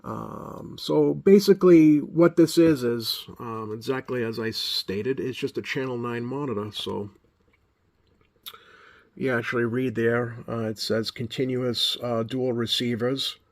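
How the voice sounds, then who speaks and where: Man giving a halting, rambling show-and-tell. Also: medium pitch, creaky, a little mellow. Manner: halting, rambling, self-correcting delivery. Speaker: host of a radio hobby video. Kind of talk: casual unscripted show-and-tell commentary.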